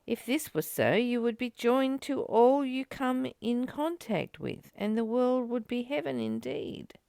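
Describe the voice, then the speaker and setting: A woman speaks slowly as a spiritual teacher, in a voice that often drops into creak. Her pitch rises and falls widely as she reads and reflects, earnest and emphatic in how she puts each point. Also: animated, dark tone, no echo, studio quality. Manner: earnest, emphatic, with rhetorical questions and repeated phrases. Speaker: spiritual teacher leading a study of a course text. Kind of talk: spiritual teaching, reading aloud with reflective commentary.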